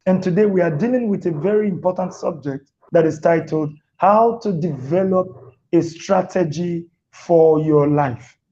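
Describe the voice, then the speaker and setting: Slow, mellow male talk-show host. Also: fairly high pitch, muffled recording. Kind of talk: live show hosting.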